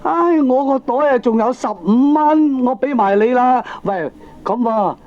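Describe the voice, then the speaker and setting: A blustering, evasive father whines and sighs in Hong Kong Cantonese. His pitch is very high and leaps about wildly. It is comic radio acting on a muffled old cassette. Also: lively intonation, a little slow. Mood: flustered, protesting. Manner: whiny, exasperated, full of sighs. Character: flustered, evasive father, a boozy blustering schemer. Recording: dull 1980s audio cassette recording.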